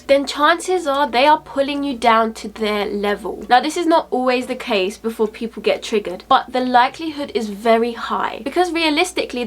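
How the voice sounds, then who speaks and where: Sniffly young woman vlogging. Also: a little high. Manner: chatty, upbeat, direct. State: blocked nose, sniffly. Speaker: finance vlogger. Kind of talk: casual talking-to-camera advice.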